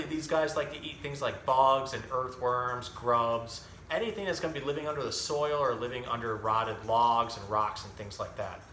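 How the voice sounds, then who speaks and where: A man's voice: medium pitch, bright, forceful tone. A man hosting an educational animal storytime, explaining and reading simply for children. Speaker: zookeeper and storytime host. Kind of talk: casual animal education talk and children's picture book reading. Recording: roomy echo with some background noise.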